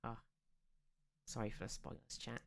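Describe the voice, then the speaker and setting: A podcast co-host, chatting casually in an informal, rambling and sweary way. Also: normal speed. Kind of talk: casual banter.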